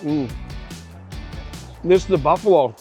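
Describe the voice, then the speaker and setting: A man's voice: a little high, measured pace, darkish tone, soft background music. A man with a Boston accent hosting a casual travel and food vlog, chatting in a rambling, enthusiastic way. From Boston area. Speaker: travel show host.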